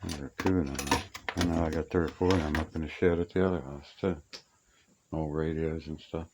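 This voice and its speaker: A man with a deep, low voice talks in a plainspoken, folksy ramble, half to himself. He sounds like a hobbyist casually showing off old gear. The amateur recording is clearly noisy, with clicks and insect chirps behind him. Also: fairly even intonation, fairly quick, darkish tone. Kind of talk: casual rambling walk-through. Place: cluttered old room being cleared out.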